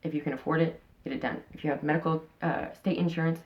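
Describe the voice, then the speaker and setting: A woman's voice: deep voice, fairly even intonation, quick pace, highs cut off. A woman in her thirties talking frankly in a casual interview, rambling with many fillers and restarts. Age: 30s. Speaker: interviewee, channel host.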